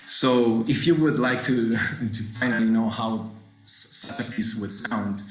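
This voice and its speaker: A low-voiced man explains a score over a video call, hesitating and repeating himself as he searches for words. His voice rings in an echoey room. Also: a little slow, background noise. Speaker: performer presenting a score. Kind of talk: webinar talk.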